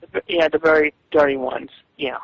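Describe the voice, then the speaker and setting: A man on a phone call, arguing defensively in a halting, repetitive way. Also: fairly high pitch, steady tone, vocal fry. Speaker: webcomic artist. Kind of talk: phone argument. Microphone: telephone.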